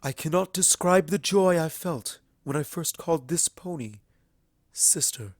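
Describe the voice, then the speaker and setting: A man voicing an ancient female pony princess in a storybook reading, speaking formally and with measured, earnest eloquence. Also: fairly high pitch, animated, no room sound, studio quality. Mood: solemn, earnest. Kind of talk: fan fiction audiobook character acting.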